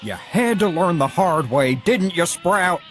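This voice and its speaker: A man voicing a smug, scolding cartoon mentor in a loud, chiding manner for an animation demo. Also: high-pitched, animated, unhurried, faint music behind.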